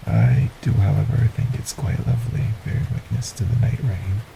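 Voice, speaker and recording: A man voicing a polite, sinister male stranger in an audio roleplay, speaking formally and courteously with a soft, breathy menace. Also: on the low side, background noise, full character voice.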